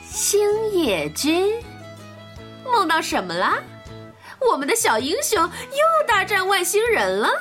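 A woman's voice: very high-pitched, huge pitch swings, slow pace, pushed and bright, voice pushed up, heavily acted. A woman voicing a pompous, sarcastic schoolteacher who scolds pupils in an audio drama. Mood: mocking. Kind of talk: Mandarin audio drama acting. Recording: music behind the voice.

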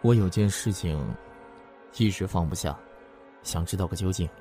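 A man's voice: sits low, moderate pace, warm tone, faint music behind. A man acting as an anxious, secretive, guilt-ridden character in a radio suspense drama, with an uneasy, guarded way of speaking. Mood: troubled, hesitant.

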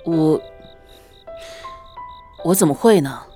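A woman's voice: sits low, lively intonation, takes it slowly, dark tone, soft background music. A woman playing a doting, roguish young husband in a Chinese period romance audio drama, with a soothing manner. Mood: anxious, soothing. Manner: soothing, hesitant, playfully boastful.

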